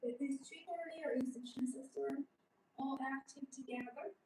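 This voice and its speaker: A woman's voice: sits high, normal speed, a little mellow. A young woman, a non-native English speaker, giving a live product sales demonstration in simple, choppy phrasing. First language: not English. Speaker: live-stream sales presenter. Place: small showroom studio set, indoors. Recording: roomy, distant mic with background noise.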